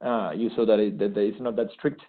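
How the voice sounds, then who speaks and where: Man on a tinny line. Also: fairly low pitch. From Argentina. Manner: enthusiastic, halting with frequent restarts. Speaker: graduate program participant. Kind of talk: unscripted testimonial. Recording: thin phone-like sound.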